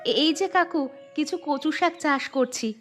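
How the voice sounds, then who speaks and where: A woman's voice: high voice, unhurried, fairly bright tone, faint music behind. A woman voicing a kind, humble, poor village mother in a cartoon story. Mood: kind, modest.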